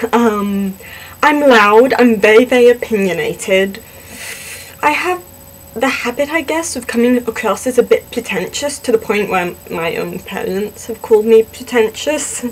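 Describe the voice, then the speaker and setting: A young woman, born in Singapore and raised in the southwestern US, casually answering questions about herself to a camera, chatty and self-deprecating with many pauses and ums. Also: mid-range voice, darkish tone, rough recording. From born in Singapore, grew up in the southwest US. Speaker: vlogger in a fan collab channel.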